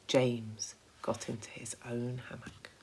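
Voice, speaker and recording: A woman reading a children's story aloud with expressive narration, like a primary school teacher. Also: rumbling low, slow, noisy background.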